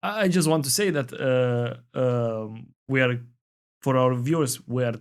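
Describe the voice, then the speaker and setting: A man's voice: medium pitch, a little slow, smooth. A man from Romania, hosting an interview podcast and speaking fluently at length, with some fillers. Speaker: podcast host. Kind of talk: interview host.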